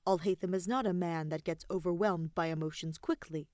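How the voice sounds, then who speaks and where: A woman reading a scripted story aloud as narrator, measured and phrase by phrase. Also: on the low side, mellow, dry recording, clean studio sound. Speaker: fan fiction narrator.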